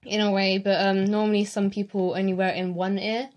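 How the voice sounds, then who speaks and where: A woman's voice: mid-range voice, flat delivery, fairly quick, dull audio. Rambling young British woman. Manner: rambling, hesitant with many fillers. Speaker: co-host. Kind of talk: casual chat reading facts.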